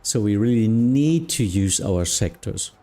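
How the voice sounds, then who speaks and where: A man from Germany with a German accent, speaking English as a second language, chatting casually with viewers on a live stream, often pausing to search for words and restarting sentences. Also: fairly low pitch, lively intonation, dark tone, faint music behind. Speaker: flight sim streamer and air traffic control mentor.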